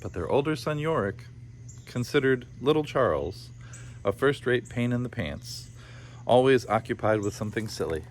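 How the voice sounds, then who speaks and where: A man's voice: mid-range voice, animated, moderate pace. A man reading a children's story aloud in a playful style, with informal asides. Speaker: storybook reader. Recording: clear background noise behind the voice.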